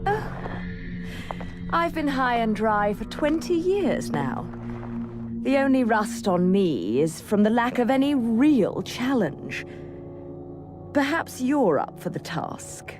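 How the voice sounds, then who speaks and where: A woman's voice: a little high, big pitch swings, slow, over music. An actress playing a poised, self-assured witch heroine in a video game cutscene, teasing with arch, sardonic politeness. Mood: playful taunting.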